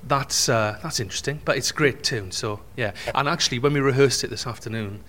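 A man's voice: medium pitch, fairly quick, a bit bright. A middle-aged Welsh man, a singer and presenter, joking about himself in a backstage chat. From Wales. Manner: jokey, self-deprecating. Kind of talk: casual interview chat. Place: backstage room.

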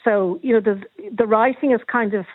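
School principal on a phone line. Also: female voice, fairly high pitch, moderate pace, husky, no echo. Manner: firm, informative, with ums. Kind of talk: radio panel discussion by phone. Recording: tinny dry phone line.

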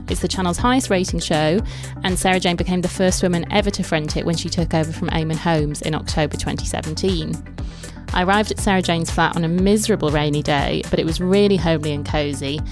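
Woman, thirties, podcast host, lowish voice, soft and friendly, music underneath. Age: 30s. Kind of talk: interview podcast.

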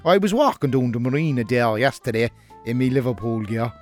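A man's voice: mid-range voice, brisk, faint music behind, close to an everyday voice. A man playing an indignant working-class lad with a strong Cork accent, telling a blunt, colloquial comic story. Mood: indignant, cheeky. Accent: Irish, working-class Cork. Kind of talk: comic scripted monologue.

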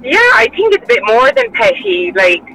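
A woman's voice: a little high, wildly swooping pitch, normal speed, sharp tone, faint music behind, rough recording. A woman phoning in to a radio show, speaking firmly and asking pointed rhetorical questions. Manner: firm, opinionated, asks rhetorical questions. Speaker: caller. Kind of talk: phone-in call to a radio show.

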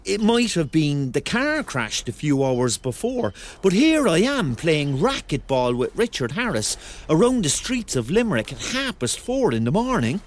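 A man's voice: fairly high pitch, very animated, normal speed, a little hiss or hum. An actor playing a Cork man in his thirties, narrating a radio drama in a wry, colloquial way with a Cork Irish accent. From Cork, Ireland. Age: 30s. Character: thirty-year-old Cork man, wry, dreamy storyteller. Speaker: narrator and lead character. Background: music.

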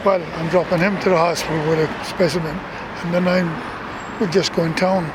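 A man's voice: high-pitched, little pitch movement, normal speed. A man stopped on the street who rambles, padding his talk with "you know". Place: city street outdoors. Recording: heavy traffic noise outdoors.

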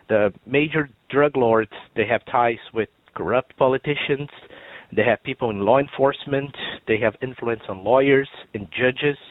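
A man's voice: medium pitch, no echo. A man from Brazil with a Brazilian accent, calling in to a radio phone-in and talking earnestly. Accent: Brazilian Portuguese. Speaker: caller. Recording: tinny phone line.

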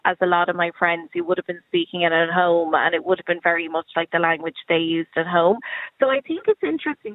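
An Irish woman in her thirties or forties, talking with a Kerry accent. She chats as a guest in a lively, animated way and often breaks off and restarts her sentences. Her voice comes through a tinny phone line with no room sound at all. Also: fairly low pitch, no echo. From Ireland. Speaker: guest comedian. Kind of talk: casual chat. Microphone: phone call.

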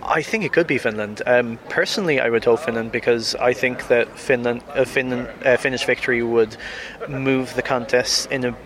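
A man's voice: medium pitch, normal speed, crowd noise. An Irish man, a news editor, giving his views in an outdoor street interview. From Ireland. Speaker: news editor and contest fan.

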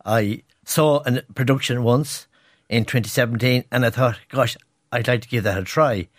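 A man's voice: mid-range voice, moderate pace, no echo. Earnest Irish man in a dry studio. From Ireland. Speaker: guest performer. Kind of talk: radio interview. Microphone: studio microphone.

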